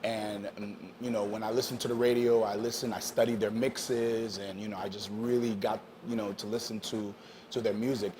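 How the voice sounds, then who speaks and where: A man in his fifties from Haiti, a DJ telling his story in a casual interview, relaxed and full of you-knows and ums, slipping into French now and then. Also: on the low side, moderate pace. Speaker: DJ guest.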